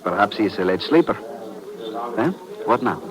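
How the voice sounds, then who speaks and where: An actor playing a secret agent's cautious, questioning partner, speaking precisely and formally in a fifties radio drama. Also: male voice, fairly low pitch, animated, normal speed, a crowd in the background, close to an everyday voice. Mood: pondering, questioning. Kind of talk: 1950s radio drama acting. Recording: vintage radio recording, background murmur.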